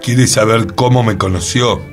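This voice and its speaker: A man's voice: lowish voice, moderate pace, soft background music, close to an everyday voice. A man acting in radio theatre as an earnest, mysterious co-host, with a Buenos Aires accent and a theatrical, suspenseful delivery. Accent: Argentine, Buenos Aires. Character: earnest, mysterious male co-host recounting strange events.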